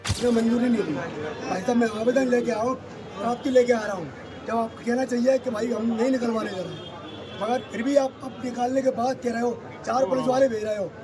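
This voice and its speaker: A man's voice: very high voice, brisk. A man making an agitated, insistent and aggrieved spontaneous complaint. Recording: outdoor street with crowd noise. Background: crowd outdoors.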